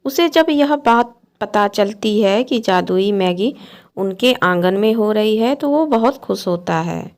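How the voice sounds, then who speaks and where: A woman's voice: mid-range voice, lively intonation, slow, a little mellow. A woman narrating a scripted cartoon bedtime story as the storyteller.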